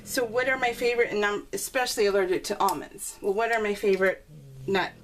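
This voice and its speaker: A woman chatting casually and rambling as a craft video host, giggly and self-deprecating, telling stories with animated exclamations. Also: mid-range voice, quick pace, faint music behind. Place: at home, voice recorded live while crafting. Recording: noisy home recording with clicks.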